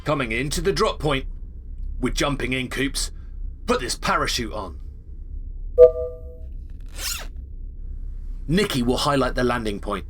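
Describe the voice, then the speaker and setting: An actor playing a brisk, cocky soldier comrade who gives matey orders in a video game parody drama. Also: male voice, medium pitch, lively intonation, heavy background noise, natural voice. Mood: brisk, commanding.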